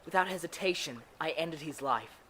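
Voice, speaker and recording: A man's voice: on the high side, lively intonation, normal speed, bright, forceful tone, a little hiss or hum. A man playing a guilt-ridden, anxious thirteen-year-old boy vigilante in an audio drama. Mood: guilty, distraught.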